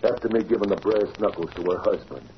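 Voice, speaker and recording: A man's voice: on the low side, fast, lightly acted. A man playing a dim, loyal thug henchman in 1940s radio style, with slangy, ungrammatical tough-guy talk. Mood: baffled, indignant. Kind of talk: 1940s radio gangster acting. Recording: muffled, noisy old radio recording.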